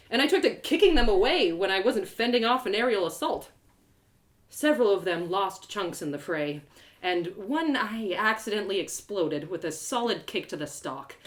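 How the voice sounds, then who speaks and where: A woman reading a first-person story aloud with expressive, playful, dramatic delivery. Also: medium pitch, moderate pace. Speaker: author reading a story live.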